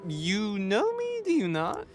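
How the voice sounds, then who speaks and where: An actor playing a fussy, pompous courtly lord who flatters effusively and repeats himself in a Shakespearean audio drama. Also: medium pitch, takes it slowly, faint music behind, exaggerated voice. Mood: pompous, puzzled.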